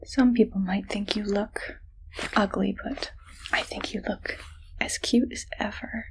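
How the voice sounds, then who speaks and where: A woman voicing a friendly but evil scientist in a whispered ASMR medical roleplay, breathy, coaxing and playful. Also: mid-range voice, fairly even intonation, close to an everyday voice, rough recording. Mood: sweetly sinister. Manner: slow, soft, playful, coaxing.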